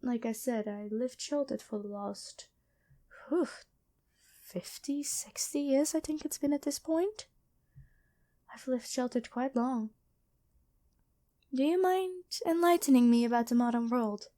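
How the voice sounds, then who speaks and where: A woman playing a kind angel medic and former nun in an ASMR roleplay, speaking gently and hesitantly to the listener. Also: high-pitched, unhurried, pauses often. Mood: friendly, curious. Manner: gentle, soft-spoken, apologetic, hesitant.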